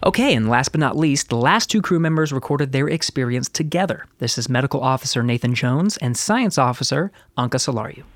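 A man's voice: mid-range voice, lively intonation, a little fast, few pauses. A man hosting an interview podcast in a conversational style, often rephrasing as he goes. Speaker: podcast host.

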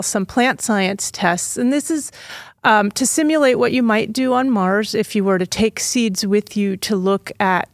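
A woman's voice: on the high side, no room sound, studio quality. A woman plant scientist, a guest on an interview podcast, explaining in a patient, detailed way.

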